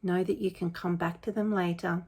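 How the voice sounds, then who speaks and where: A woman leading a guided meditation in a gentle, unhurried, informal and soothing way. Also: on the low side, little pitch movement, breathy edge. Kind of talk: guided meditation, spoken softly to listeners.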